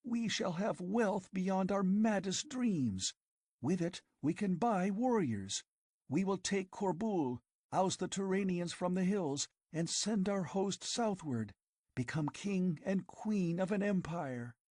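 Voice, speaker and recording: A man's voice: high-pitched, animated, slow, darkish tone, no echo, clean studio sound, pitched above the performer's own voice, full character voice. A man reading an audiobook, voicing a fierce, passionate young woman who pleads urgently and breathlessly. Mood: passionate urging. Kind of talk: audiobook character voice.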